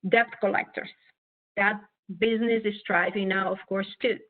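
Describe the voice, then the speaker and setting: A woman's voice: medium pitch, fairly even intonation, normal speed. A middle-aged woman from Belgium giving an earnest guest talk, with many fillers and restarts. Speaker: guest speaker on entrepreneurship. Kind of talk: webinar talk. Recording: tinny phone-like online call audio.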